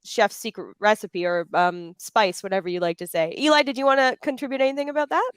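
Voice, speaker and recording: A woman's voice: mid-range voice, steady tone, a little fast, clean tone, no echo, clean studio sound. A woman moderating a panel discussion in a conversational, unscripted way.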